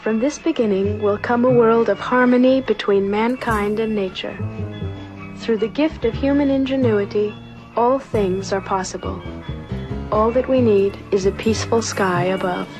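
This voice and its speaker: A woman delivering scripted documentary narration in a formal, measured manner. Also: mid-range voice, fairly even intonation. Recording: dull lo-fi old film soundtrack. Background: music.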